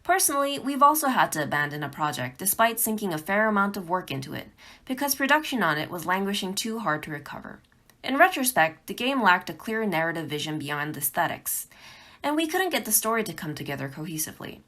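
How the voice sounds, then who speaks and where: A woman's voice: medium pitch, sing-song, brisk, sharp tone. A woman presenting a pre-recorded instructional talk as a game developer, speaking in an emphatic, encouraging way.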